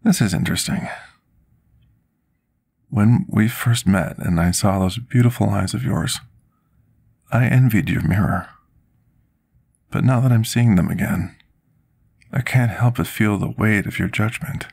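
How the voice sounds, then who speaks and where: A man playing a gentle, timid potion master in a soft-spoken ASMR roleplay, husky and gravelly, formal and deferential. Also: fairly low pitch, unhurried, pauses often, heavily acted. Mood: nervous, deferential. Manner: formal, polite, submissive, archaic wording.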